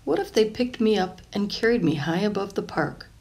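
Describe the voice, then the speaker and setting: Female storybook reader, medium pitch with noticeable fry, careful and expressive delivery for young listeners. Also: moderate pace. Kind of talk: reading a children's picture book aloud.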